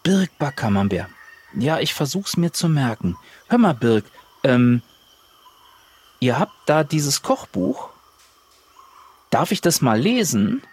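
A man's voice: medium pitch, big pitch swings, slow pace, long pauses, darkish tone. A man improvising casual in-character dialogue as a player in a role-play adventure. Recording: roomy, reverberant, faint background noise.

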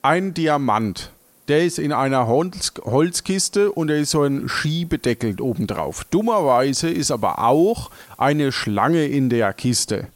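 Halting, slow-paced man improvising an adventure. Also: medium pitch, animated, dry recording. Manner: halting, searching for words. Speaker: game master and player. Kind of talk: improvised audio adventure narration, casual.

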